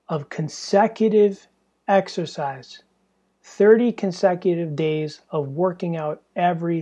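A young man talks into a podcast mic in a small, stuffy room, his voice worn hoarse and husky from fatigue. His tone is dark and mellow, and he rambles along in a loose, candid way, swearing freely as he goes. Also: high-pitched. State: tired and hoarse, losing his voice. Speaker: podcast host. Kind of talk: casual solo podcast monologue.

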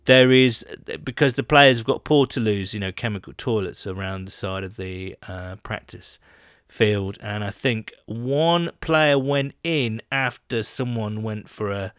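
A man's voice: fairly low pitch, unhurried, a bit bright, clear, resonant tone, no room sound, studio quality. A man co-hosting a podcast in casual chat, rambling and laughing as he reads out listener questions. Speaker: podcast co-host.

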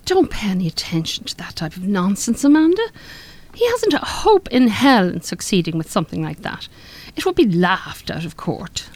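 Radio drama: a firm, defiant wife, very expressive range, mid pitch. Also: female voice, moderate pace. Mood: scornful. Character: forthright, defiant married woman in a refuge, firmly dismissive. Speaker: radio play actor. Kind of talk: live radio drama acting.

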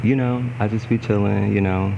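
A man's voice: on the low side, fairly even intonation, moderate pace, vocal fry, muffled recording, a loud crowd. A man in his twenties, laid-back and drawling as a podcast guest. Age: 20s. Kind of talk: casual group chat.

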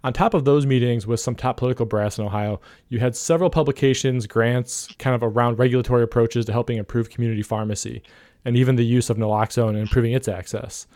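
A man's voice: mid-range voice, fairly even intonation, fast, a little mellow, dry recording, clean studio sound. A man hosting a podcast interview in a casual, affirming, conversational way. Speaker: podcast host.

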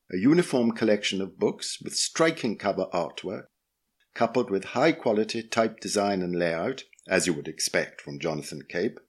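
Podcast host, male, British accent, lowish and very husky, for scripted essay readings.

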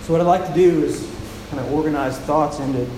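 Soft-spoken pastor in an echoing hall. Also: male voice, fairly high pitch, a little fast. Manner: soft-spoken, with frequent repeated words and restarts. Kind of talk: sermon with scripture reading. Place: church with a large echoing room. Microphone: handheld mic. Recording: echoey, reverberant hall with noise.

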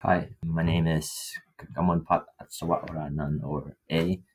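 A young man from Thailand with an extremely deep, husky voice. He talks conversationally as a research student being interviewed. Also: level tone, measured pace, darkish tone. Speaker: PhD student guest.

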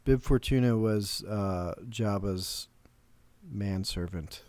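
A man's voice: fairly low pitch, slow, a little mellow, no room sound. A man co-hosting a podcast, chatting casually and trailing off mid-sentence. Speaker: podcast co-host.

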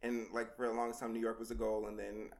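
A man's voice: on the low side, monotone, fast talker, creaky. A man hosting a casual podcast, laid-back and slangy, laughing often between remarks. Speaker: podcast host. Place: Los Angeles.

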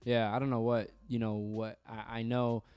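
Male podcast co-host from New York, mellow and smooth, easygoing and conversational. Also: mid-range voice, moderate pace. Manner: relaxed, conversational, hedging. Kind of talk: casual podcast discussion. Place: studio room across from co-host.

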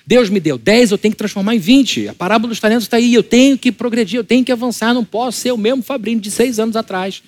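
A man's voice: extremely high pitch, quick pace, hardly any pauses, darkish tone, dry recording. A male pastor with a Brazilian Portuguese accent delivering a conversational church sermon, firing rhetorical questions at his congregation. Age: adult, married.

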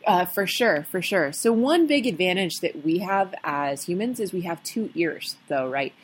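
Lowish-voiced woman co-host explaining. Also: expressive, normal speed, slightly rough. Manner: thoughtful, explains with frequent ums and restarts. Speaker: podcast co-host. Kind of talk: casual explanatory chat.

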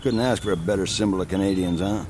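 An actor playing a desperate, washed-up friend, slangy and foul-mouthed, pleading bitterly in a naturalistic audio drama. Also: male voice, low voice, fast talker, noisy background, lightly acted. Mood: resigned, sardonic.

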